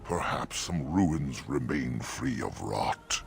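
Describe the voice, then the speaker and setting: An actor playing an ancient talking oak tree in a fantasy game, solemn and mournful, speaking in archaic rhyming verse with a gravelly voice. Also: male voice, expressive, slow, soft background music, heavily acted. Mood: slow, wistful. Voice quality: deep, gravelly. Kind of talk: fantasy video game character acting.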